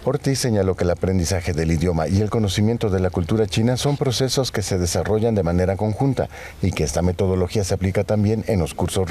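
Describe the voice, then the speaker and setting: A man reading a news report as a voice-over, in a measured, even delivery. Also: sits low. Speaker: news narrator.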